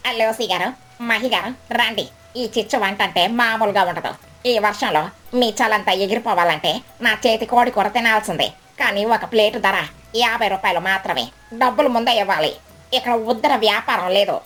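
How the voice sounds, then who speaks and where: A woman's voice: mid-range voice, moderate pace, fairly bright tone, close to an everyday voice. Woman voicing a smug, greedy cartoon crow. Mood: boastful. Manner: smug, haughty, scornful. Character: greedy, sly, boastful female crow food vendor. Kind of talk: animated children's moral story voice acting. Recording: background music bed.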